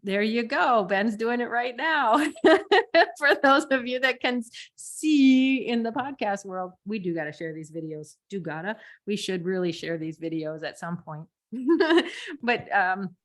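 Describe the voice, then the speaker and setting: A woman's voice: a little high, lively intonation, a little slow. A woman co-hosting and coaching on a podcast in casual, giggly conversation, repeating words for emphasis. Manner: giggly, laughs often between phrases. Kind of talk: casual podcast teaching chat.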